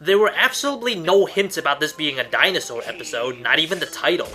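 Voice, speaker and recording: A man's voice: on the high side, expressive, fast talker, sharp tone. A man narrating a scripted countdown review as host, with emphatic delivery and wry asides, over music. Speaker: host of an online cartoon countdown show. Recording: music under the voice. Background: background music.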